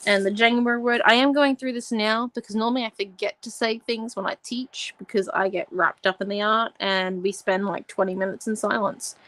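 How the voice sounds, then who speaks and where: A young woman in her twenties leads a live craft class from home. She talks in a chatty, rambling way, full of asides and fillers. Her voice sits at an ordinary pitch and sounds close and dry, with no room echo. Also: steady tone, moderate pace, hardly any pauses, fairly bright tone. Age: 20s. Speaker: host of a live art class. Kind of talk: casual livestream craft tutorial.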